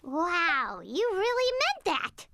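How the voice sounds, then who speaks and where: A woman voicing a small, eager, childlike fairy companion in a game, with a squeaky voice and a perky, exclamatory manner. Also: wildly swooping pitch, slow pace, bright, forceful tone, slight background noise, exaggerated voice. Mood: amazed.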